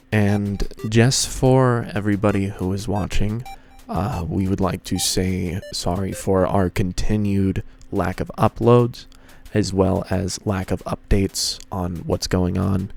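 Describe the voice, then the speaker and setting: A man's voice: on the low side, creaky, a little mellow. A man hosting a fan fiction reading and narrating in a casual style. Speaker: host and fan fiction reader.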